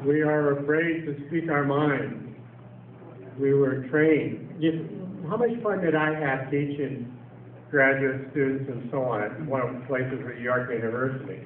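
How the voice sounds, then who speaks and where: A man's voice: mid-range voice, measured pace, a little hiss or hum. An older man from Canada giving a seminar talk to an audience, sardonic and full of rhetorical questions. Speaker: seminar speaker. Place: council chamber, echoey hall. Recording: distant echoey lo-fi hall recording.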